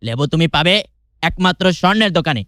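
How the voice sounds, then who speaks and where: A man voicing a sarcastic, excitable shopkeeper in a comic cartoon. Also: a little high, fast talker, lightly acted. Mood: scornful. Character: sarcastic, excitable male shopkeeper mocking his customer.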